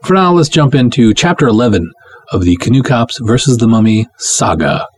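A man's voice: medium pitch, animated, moderate pace, a little hiss or hum. A man hosting a podcast, talking directly to his listeners in a monologue. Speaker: podcast host, writer and director. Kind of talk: podcast monologue, motivational announcements.

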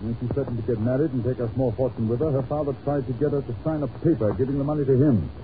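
An actor playing a commanding, clever detective in an old-time radio drama, with a clipped, authoritative manner. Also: male voice, mid-range voice, quick pace, natural voice. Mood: calm, explanatory. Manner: clipped, authoritative, dryly dismissive. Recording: very muffled old radio recording, heavy noise.

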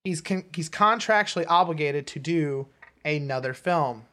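A man talks casually as a podcast co-host about pop culture. His delivery wanders and halts, circling back as he explains things. The pitch sits in a middle range. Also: normal speed, sharp tone. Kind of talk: casual comics and film chat.

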